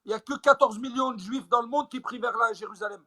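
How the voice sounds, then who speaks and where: A young man argues in a heated debate, repeatedly interjecting. His voice is high, quick, and sharply bright. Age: young adult. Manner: interjecting, argumentative.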